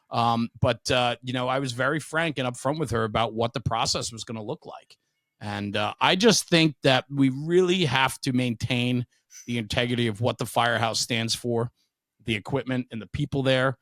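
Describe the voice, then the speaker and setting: A man's voice: medium pitch, moderate pace, fairly bright tone, no room sound. A middle-aged man telling stories casually as an interview guest, frank, emphatic and opinionated. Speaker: podcast guest, veteran firefighter and speaker.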